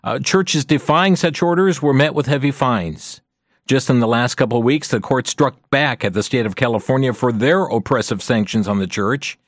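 A man hosting a radio talk show, earnest and measured as he addresses listeners directly. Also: medium pitch, no echo, clean studio sound.

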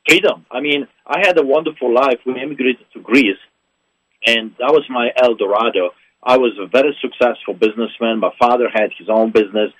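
A man's voice: mid-range voice, a little fast, fairly bright tone, husky, no echo, dull audio. A man with a Polish accent, a pastor speaking as a guest in a radio interview, impassioned and emphatic. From Poland. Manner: impassioned, emphatic, repeats phrases.

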